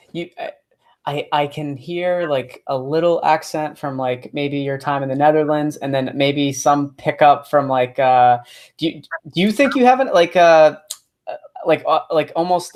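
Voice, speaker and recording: A man hosting a podcast interview over a video call, talking casually with many restarts and fillers. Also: on the high side. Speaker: podcast host.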